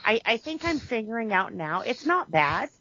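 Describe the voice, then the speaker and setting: A woman co-hosting a podcast, chatting casually about a TV series in an animated way, full of restarts and "you know". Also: fairly high pitch, normal speed, no echo, muffled recording. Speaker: podcast co-host. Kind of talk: casual chat reviewing a TV series.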